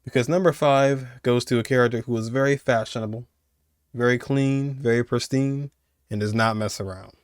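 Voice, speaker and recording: Casual low-toned man hosting a countdown. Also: steady tone, normal speed, clear, resonant tone, dry recording, clean studio sound. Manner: slangy, conversational, chuckling asides. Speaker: host of a video game countdown show. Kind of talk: casual video commentary, countdown.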